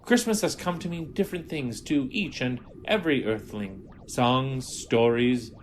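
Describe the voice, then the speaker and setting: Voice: medium pitch, a little slow, slight background noise, lightly acted. Pompous, theatrical Martian villain played by an actor. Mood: solemn, wistful. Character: indignant, grandiose Martian villain rebel with a ray gun. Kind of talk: audio drama acting.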